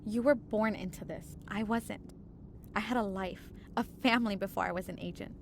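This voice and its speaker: A woman's voice: mid-range voice, moderate pace, a little hiss or hum, lightly acted. An actress playing a persistent, grieving woman agent in an audio drama, earnest and blunt. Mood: pained, earnest.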